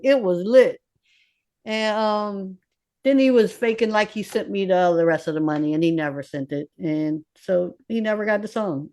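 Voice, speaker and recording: A woman in her fifties, a recording artist, talking bluntly and with lots of swearing as a guest in a casual interview. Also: fairly high pitch. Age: 50s. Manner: blunt, swearing, streetwise and animated. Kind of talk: candid casual interview talk.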